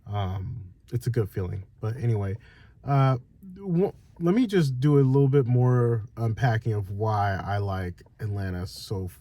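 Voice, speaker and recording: A man with a low, mellow voice talks loosely to his viewers and wanders through his thoughts. His nose is stuffed up from a cold, which gives his voice a nasal tone. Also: lively intonation, measured pace, no echo. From Northern California. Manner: loose, rambling, many fillers and restarts. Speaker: vlogger. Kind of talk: casual direct-to-camera chat.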